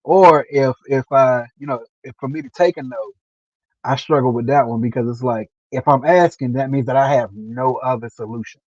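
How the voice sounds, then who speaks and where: A man hosting a casual interview over a video call, earnest and halting, often repeating himself. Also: mid-range voice, a little mellow, no echo. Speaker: host interviewer. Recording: dull lo-fi stream audio.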